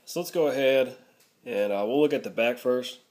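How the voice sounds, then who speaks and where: A man's voice: medium pitch, normal speed, darkish tone. A man narrating a casual product review, explaining things step by step.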